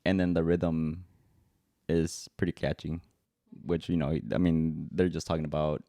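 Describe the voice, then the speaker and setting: Young male podcast co-host with a Spanish accent, very low mellow voice, relaxed and hesitant, dry mic. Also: unhurried, no room sound. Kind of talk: casual chat.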